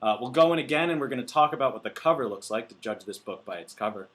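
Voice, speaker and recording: A man's voice: lively intonation, fast talker, fairly bright tone. A man co-hosting a casual book podcast, joking and bantering with friends. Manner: joking, bantering, bursts into high-pitched laughing protests. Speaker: podcast co-host. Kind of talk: casual chat.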